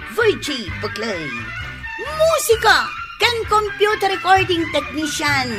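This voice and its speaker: A woman announcing a radio drama and its credits in a theatrical manner. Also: sits high. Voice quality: bright, theatrical. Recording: radio broadcast over music. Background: theme music.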